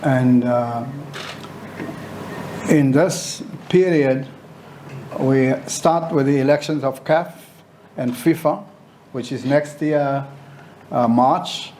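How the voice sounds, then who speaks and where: A man chairing a press conference, speaking in a halting, deliberate way with pauses mid-sentence. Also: medium pitch, dark tone, rough recording. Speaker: football association official chairing a press conference. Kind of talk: informal press conference address. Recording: faint background noise.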